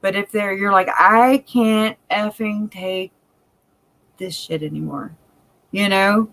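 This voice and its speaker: A woman's voice: mid-range voice, fairly even intonation, takes it slowly, a bit bright. Woman, Cajun accent, country-sounding, candid, laughs a lot, live chat co-host. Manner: chatty and candid, laughs often. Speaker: livestream co-host.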